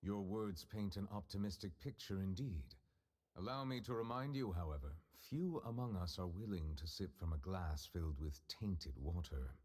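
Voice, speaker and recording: An actor playing a formal, reserved male judge who speaks deliberately and guardedly. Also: low-pitched, animated, a little mellow.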